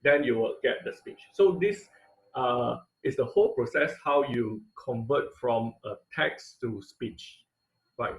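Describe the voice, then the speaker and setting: A man's voice: medium pitch, measured pace, low-quality recording. Male instructor, patient explainer, filler-heavy, computer mic. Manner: explanatory, frequent "uh" and "right?" tag questions. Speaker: robotics instructor. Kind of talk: online class lecture over slides.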